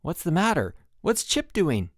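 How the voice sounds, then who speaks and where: A man's voice: sits high, wildly swooping pitch, measured pace, no echo, voice pushed up, full character voice. A man voicing an amused young male swim instructor at a summer camp who talks in a teasing way, recorded dry.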